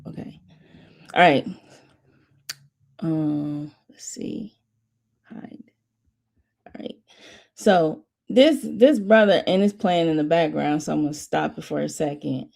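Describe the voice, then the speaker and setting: Low-voiced woman hosting a rambling chat. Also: unhurried, gravelly, pauses often. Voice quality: says her voice runs monotone then jumps high. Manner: rambling, restarting often, laughing between thoughts. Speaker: host of a watch party stream. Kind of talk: casual chat.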